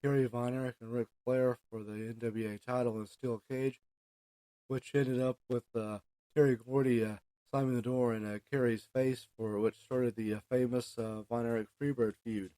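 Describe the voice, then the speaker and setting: A middle-aged man with an American accent hosts a casual solo podcast, rambling along in a halting way. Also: mid-range voice, level tone, mellow, dry recording. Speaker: podcast host.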